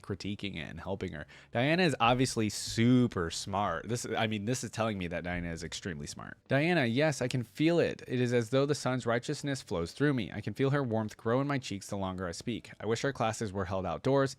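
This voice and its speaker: Fast-talking man, dry close sound. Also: medium pitch, no room sound. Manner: informal, conversational. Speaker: host of a fan reaction video. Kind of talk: casual reaction commentary mixed with reading story text aloud.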